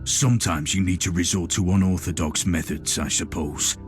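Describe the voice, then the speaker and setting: An actor playing a stern, commanding Inquisitor in a video game, speaking with deliberate authority and dry irony. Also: male voice, deep voice, steady tone, lightly acted. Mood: dry, sardonic. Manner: authoritative, deliberate, dryly ironic. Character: stern, commanding male Imperial Inquisitor, dryly sardonic. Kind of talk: scripted video game voice acting. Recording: music behind the voice.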